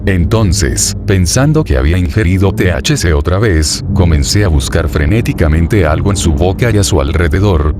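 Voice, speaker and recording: A man narrating a first-person horror story in a steady, even reading voice. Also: deep voice, background noise. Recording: narration over clear background music. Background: background music.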